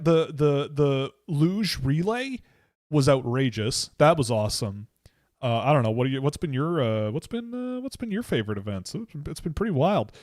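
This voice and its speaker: A young man hosting a livestream, rambling excitably with frequent restarts. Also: on the high side. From Canada. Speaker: livestream host. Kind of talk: casual livestream chat.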